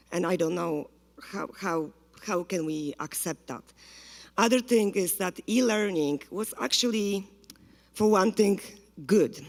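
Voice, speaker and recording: Parent at the podium: Polish-accented woman, gravelly edge, urgent and halting, echoing meeting hall. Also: on the low side. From Poland. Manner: earnest, pleading, halting speech. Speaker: parent giving public comment. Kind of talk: public comment at a school board meeting. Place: school board meeting room. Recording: reverberant hall.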